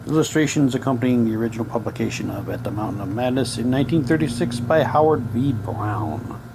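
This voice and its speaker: A man giving halting, low-key, wry commentary as he casually unboxes items and reads captions aloud. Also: lively intonation, vocal fry, noisy background. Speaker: unboxing video host.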